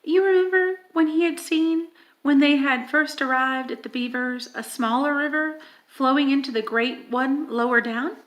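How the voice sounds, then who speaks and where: A woman reading a children's story aloud in an expressive, dramatic manner, with emphatic stresses and hushed moments. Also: high voice, normal speed. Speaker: audiobook reader.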